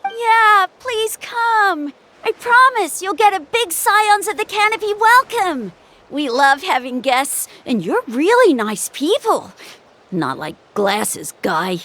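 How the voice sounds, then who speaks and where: A woman voicing a friendly, earnest young village child in a fantasy video game, eager and expressive. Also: extremely high pitch, takes it slowly, exaggerated voice. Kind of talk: video game character acting.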